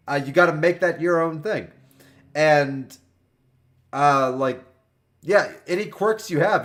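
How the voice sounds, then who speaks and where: A man in his twenties chatting casually with viewers while livestreaming a video game, joking and animated. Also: on the high side. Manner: animated, joking, talks to chat by name. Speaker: game streamer.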